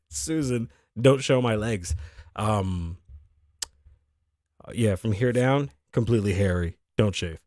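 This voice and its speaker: Hoarse man hosting a casual show. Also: fairly low pitch, lively intonation, takes it slowly, long pauses, a little mellow, no echo, clean studio sound. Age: 30s. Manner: loose, profane and joking, with asides to the crew. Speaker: host. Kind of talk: casual podcast monologue. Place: show set in Las Vegas.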